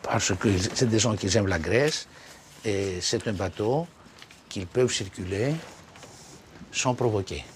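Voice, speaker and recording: A man, answering interview questions in a relaxed, expansive way, with small slips. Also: on the low side, a little slow, pauses often, a little hiss or hum. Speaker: interviewee, businessman.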